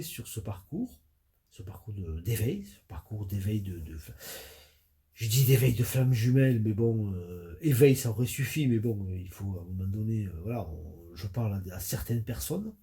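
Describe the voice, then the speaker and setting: A man with a cold and a stuffy nose, talking as a spiritual coach in a halting audio monologue full of fillers and restarts. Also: fairly low pitch, warm tone. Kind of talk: hesitant spoken audio monologue.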